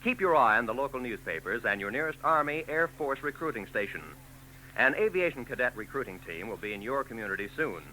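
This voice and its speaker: A man's voice: medium pitch, lively intonation, a little fast, bright, forceful tone, rough recording. A man reading a recruiting announcement and show introduction in a formal, emphatic 1940s radio style. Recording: old radio broadcast tape with faint noise.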